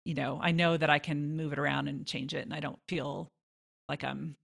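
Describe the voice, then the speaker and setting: A woman's voice: low voice, flat delivery, fairly quick, gravelly, a bit bright. A woman chatting informally as a songwriter guest in an interview, with self-deprecating asides. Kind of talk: casual interview answers.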